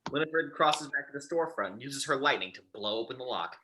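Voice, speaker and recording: A man with a husky voice reading scripted stage directions as narrator of a table read. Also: mid-range voice, animated, quick pace, pushed and bright.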